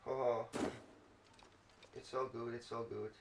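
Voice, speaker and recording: A man's voice: mid-range voice, flat delivery, takes it slowly. A man giving casual let's play commentary, muttering to himself and swearing mildly. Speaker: gaming video host. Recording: roomy, distant mic, clearly noisy with clicks.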